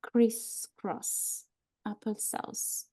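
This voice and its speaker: Woman acting hesitant questioner, slow pace, extremely soft mellow voice, screenplay reading. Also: a little high, level tone, slightly rough, exaggerated voice. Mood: hesitant, probing. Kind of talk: live screenplay table read acting.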